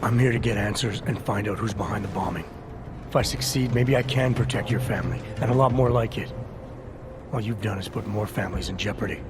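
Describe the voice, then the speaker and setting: Casting: male actor as terse, restrained agent for a game, low register, all business, music underneath. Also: brisk, natural voice. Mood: focused. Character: stern, controlled male government agent on a mission. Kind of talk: video game cutscene acting. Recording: game cutscene with music behind.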